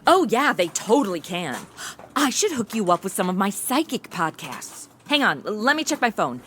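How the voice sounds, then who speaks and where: A woman's voice: mid-range voice, big pitch swings, normal speed, fairly bright tone, slight background noise. A young woman playing a chatty, ditzy modern influencer who hosts and banters in a comedy audio drama. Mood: excited, chatty. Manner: breezy, casual valley-girl slang. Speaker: host.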